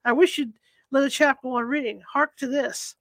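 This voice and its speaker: A woman's voice: high voice, steady tone, normal speed, no room sound. A woman reading aloud as a dreamy young man who speaks absently, as if half in a vision. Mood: impatient. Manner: absent-minded, distant storytelling.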